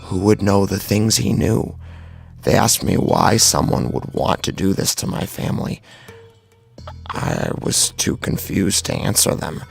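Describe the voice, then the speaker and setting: Male actor as tormented victim narrating horror tale: heavy fry, husky, halting, strained with guilt. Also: low-pitched, wildly swooping pitch, soft background music, exaggerated voice. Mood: grim, bewildered. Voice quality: gravelly, husky. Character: anguished, guilt-ridden male victim recounting his tragedy. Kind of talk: first-person horror story narration.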